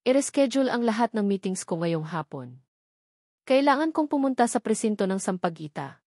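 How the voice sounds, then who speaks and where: A woman's voice: mid-range voice, very animated, moderate pace, darkish tone, no room sound, clean studio sound. A woman voicing a determined, caring female lawyer in a narrated story, firm and composed.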